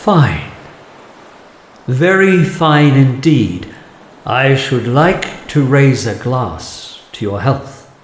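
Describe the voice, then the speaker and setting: Slow, echoing ghostly stranger played by a man. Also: mid-range voice, animated. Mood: courteous, sly. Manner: slow, measured, courteous. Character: mysterious pale stranger, a courteous drinking ghost. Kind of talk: audio drama acting. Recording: echoey, with faint background noise.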